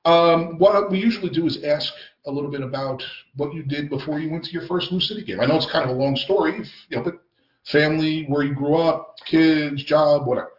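A man's voice: fairly high pitch, brisk. Man hosting in a roomy, muffled recording. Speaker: podcast host. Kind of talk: casual chat and interview. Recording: roomy, muffled band-limited podcast sound.

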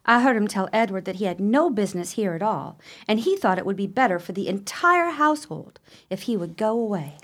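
Actress for gossipy spinster sister, gothic radio drama; middle register, formal, measured and dramatic. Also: female voice, medium pitch, animated, a bit bright. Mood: ominous. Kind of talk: old-fashioned radio play acting.

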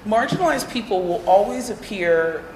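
A woman on a podcast panel, speaking in a measured, emphatic way. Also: medium pitch, animated, darkish tone, slight background noise. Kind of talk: casual panel discussion. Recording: echoey live room, long reverb.

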